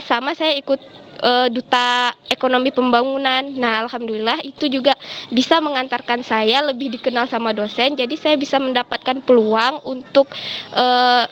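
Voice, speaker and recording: A young woman with a high voice answers interview questions as a student guest. She talks in a chatty, explanatory style, with faint noise in the recording. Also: fairly bright tone. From Jambi, Indonesia. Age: early 20s. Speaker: student guest sharing exchange experience. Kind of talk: casual informative interview answers.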